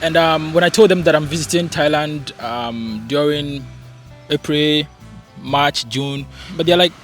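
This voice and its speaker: A man's voice: fairly high pitch, measured pace, soft background music. Chatty Ghanaian-born man vlogging. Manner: chatty, animated, asks rhetorical questions, many fillers. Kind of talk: casual vlog chat. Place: home in hot, humid climate.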